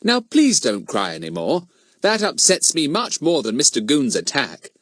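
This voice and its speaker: A man's voice: mid-range voice, wildly swooping pitch, moderate pace, a bit bright, no echo, studio quality, pitched above the performer's own voice. A man voicing a plucky, dignified schoolboy with an earnest, articulate manner, in a children's storybook. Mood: reassuring. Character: plucky, dignified, well-spoken schoolboy leader.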